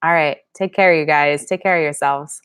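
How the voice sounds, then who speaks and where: A woman's voice: low voice, steady tone, moderate pace, fairly bright tone, smooth. A young woman from Pennsylvania hosting a live-stream Q&A, chatting casually and reading viewer comments aloud. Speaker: channel host.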